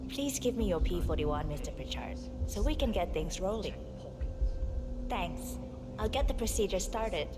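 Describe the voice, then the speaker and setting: A woman playing a composed, businesslike guide at a secretive agency in a game, speaking in a formal, measured, official way. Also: mid-range voice, big pitch swings, heavy background noise, natural voice. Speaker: facility guide. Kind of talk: scripted game dialogue.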